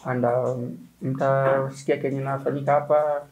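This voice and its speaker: A man's voice: medium pitch, little pitch movement, moderate pace, a little mellow, muffled recording, faint music behind. A man in his thirties with a Kenyan accent, answering interview questions as a manager. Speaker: gallery manager. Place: indoor art gallery room. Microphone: lapel.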